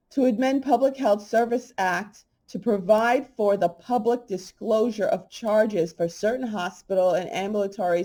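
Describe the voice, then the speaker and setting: Blunt, slightly hoarse woman host. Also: mid-range voice, warm tone. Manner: blunt, emphatic, conversational. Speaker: holistic health channel host. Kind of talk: casual monologue to camera.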